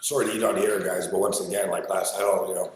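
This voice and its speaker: A man chats loosely on a live sports betting show, loud and full of swearing as he rambles between topics. His voice sits a little low. The room around him is echoey. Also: level tone, fast, a little hiss or hum. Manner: loud, profane, rambling banter. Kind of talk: casual live-stream chat while eating. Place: small studio.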